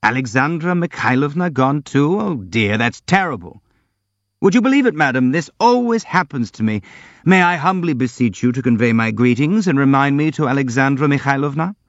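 A man's voice: medium pitch, expressive, normal speed, dry recording, highs cut off, pitched above the performer's own voice, lightly acted. A man voicing an elderly, boastful army general who speaks in a grandiloquent, effusive way. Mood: pompous. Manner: grandiloquent, effusive, self-pitying.

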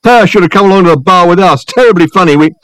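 A man's voice: sits high, quick pace, fairly bright tone, clear, resonant tone, no room sound. A man voicing a jovial, mocking drinking companion in an audiobook, with a braying delivery. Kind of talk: audiobook character acting.

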